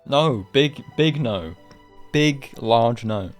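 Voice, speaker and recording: A man streaming a game with casual live commentary, rambling, opinionated and quick to swear. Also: mid-range voice, lively intonation, faint music behind.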